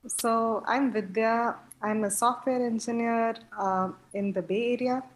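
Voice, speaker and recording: A woman's voice: on the high side, fairly even intonation, measured pace, rough recording. A woman in her thirties from southern India, a Tamil speaker, talking plainly as an interview guest. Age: 30s. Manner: plain and friendly, fillers like you know. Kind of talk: conversational interview.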